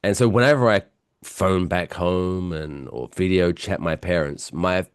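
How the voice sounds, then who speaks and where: A man with a British accent, softened by years in America, telling stories as a podcast co-host while stuffy with a cold. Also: low voice, unhurried, smooth, dry recording, studio quality. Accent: British English softened by years in the US. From England. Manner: chatty, self-mocking storyteller. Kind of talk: casual podcast chat.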